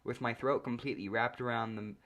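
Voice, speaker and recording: A man reading a comedic first-person story aloud as narrator, in a deadpan manner. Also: mid-range voice, fast talker.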